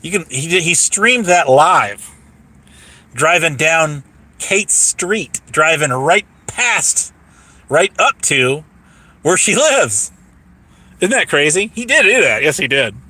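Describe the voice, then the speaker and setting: A hoarse man rambling casually on a livestream, boastful, repeating himself and laughing mid-sentence. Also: on the high side, sing-song, slow, long pauses, sharp tone.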